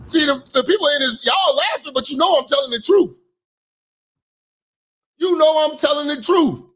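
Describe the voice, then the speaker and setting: A man hosting a comedic commentary, talking loud, profane and animated, sometimes shouting. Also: very high-pitched, normal speed. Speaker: commentary host.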